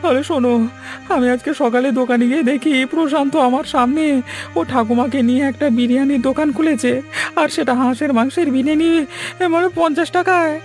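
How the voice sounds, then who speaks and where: A man's voice: very high-pitched, fast, darkish tone, soft background music, pitched above the performer's own voice. A man voicing a greedy, distressed shopkeeper in a cartoon story, complaining plaintively. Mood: distraught. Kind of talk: Bengali cartoon story voice acting.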